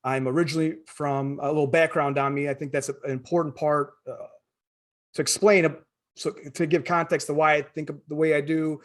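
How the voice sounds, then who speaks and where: Higher-pitched American man, halting interview talk. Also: little pitch movement. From Chicago area, born in former Yugoslavia. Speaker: podcast guest, attorney. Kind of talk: conversational interview.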